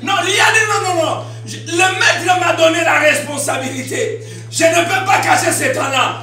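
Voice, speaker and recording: A Congolese man preaching loud, shouting and calling for amens. his voice is very high and bright, and it rings around a big echoey church hall. he sounds far from the mic, with music behind him. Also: moderate pace. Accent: Congolese French. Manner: shouting, call-and-response with congregation. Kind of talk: sermon.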